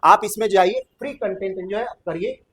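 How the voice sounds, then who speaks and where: High-pitched Bihari man teaching. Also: normal speed, a bit bright. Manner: emphatic, repetitive, with colloquial tag phrases. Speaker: physics coaching teacher. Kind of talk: informal exam-coaching lecture.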